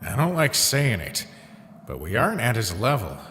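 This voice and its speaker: A man's voice: medium pitch, huge pitch swings, normal speed, pushed and bright, slight background noise. A man acting a guilt-ridden, evasive skeleton who speaks hesitantly and defensively in a dramatic fan comic dub. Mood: wary, evasive. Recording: long reverb under background music.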